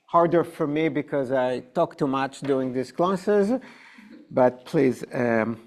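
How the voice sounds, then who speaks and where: A man's voice: medium pitch, darkish tone. A man with an Israeli accent, a native Hebrew speaker, teaching an informal Bible class and putting questions to his students. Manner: unhurried, explaining with questions to the class. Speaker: rabbi teaching adult education. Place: synagogue classroom.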